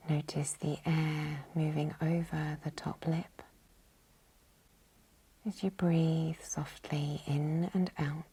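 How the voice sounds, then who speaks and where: Hushed, breathy woman guiding slow meditation. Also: deep voice, poor audio. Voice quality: breathy, whispery, soft. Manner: calm, gentle, unhurried. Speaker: yoga nidra guide.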